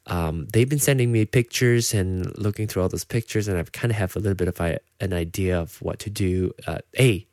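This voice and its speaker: Low-voiced Malaysian man, casual chat. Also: fairly quick, few pauses, a little mellow, dry recording, studio quality. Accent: Malaysian English, partly Americanized. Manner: rambling, with many fillers and restarts. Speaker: podcast host.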